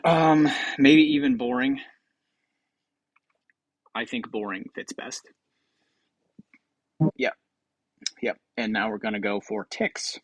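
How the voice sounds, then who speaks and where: A man's voice: mid-range voice, animated, slow, long pauses, no room sound, muffled recording. A man hosting a game show with casual, improvised commentary in a rambling, offhand way.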